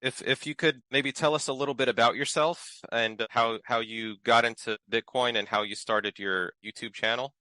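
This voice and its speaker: Bright-toned man hosting a podcast. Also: mid-range voice, moderate pace, hardly any pauses, no echo. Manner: relaxed, friendly, with small restarts. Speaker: podcast host. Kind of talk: casual podcast interview.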